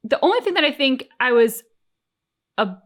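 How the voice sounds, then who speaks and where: A woman's voice: high voice, very animated, normal speed. A woman co-hosting a reaction channel, talking casually and thoughtfully as she searches for words.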